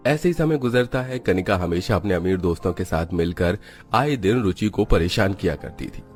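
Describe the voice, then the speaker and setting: Low-pitched man narrating a children's story. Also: lively intonation, normal speed. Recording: music behind the voice.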